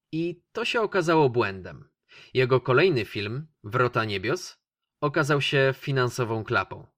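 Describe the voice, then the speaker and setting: A man's voice: mid-range voice, fairly bright tone, dry recording, studio quality. A man hosting a radio film review, talking conversationally and addressing the listeners directly. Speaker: radio host reviewing films.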